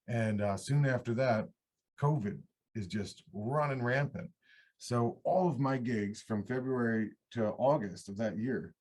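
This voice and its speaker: A man in his thirties from upstate New York, a country singer telling his story in a casual, rambling interview. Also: on the low side. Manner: rambling, relaxed, restarts mid-sentence. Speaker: country singer-songwriter guest.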